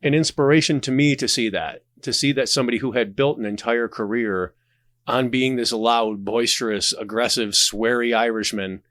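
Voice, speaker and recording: A man talking casually as a podcast guest, telling anecdotes in an animated, conversational way. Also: mid-range voice, normal speed, no room sound, studio quality. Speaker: podcast guest, audio drama creator.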